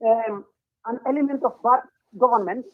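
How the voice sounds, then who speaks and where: A man, speaking as a panel guest in a political discussion, earnest and deliberate with frequent hesitations. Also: extremely high pitch. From Gambia. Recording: tinny phone line.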